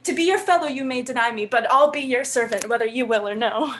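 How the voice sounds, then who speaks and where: A woman acts a devoted young maiden in a Shakespearean romance. The voice is high, with a tender, earnest delivery. The maiden is innocent and modest, yet forthright in love. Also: fast, fairly bright tone, lightly acted. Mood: earnest, vulnerable. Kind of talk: Shakespearean stage acting.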